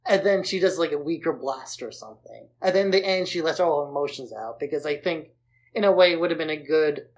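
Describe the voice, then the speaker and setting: A woman's voice: low voice, expressive, quick pace, darkish tone, poor audio. A woman co-hosting a podcast, giving blunt opinions on films in casual conversation. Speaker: podcast co-host. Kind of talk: casual opinionated film discussion.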